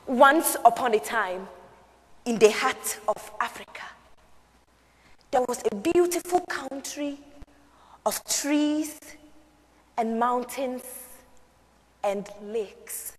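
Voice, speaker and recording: A woman playing a slow, dreamy young survivor in a hall. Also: fairly high pitch. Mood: solemn, wistful. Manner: theatrical, with expressive pauses and emphasis. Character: young Rwandan woman survivor, eager, dreamy, proud, wistful. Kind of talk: solo dramatic interpretation on stage. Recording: echoey hall.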